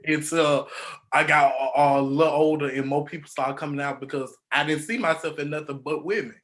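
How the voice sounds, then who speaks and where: A man's voice: on the high side, fairly even intonation, brisk, a bit bright. A man hosting a casual podcast, earnest, often repeating words and restarting with uhs. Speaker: podcast host.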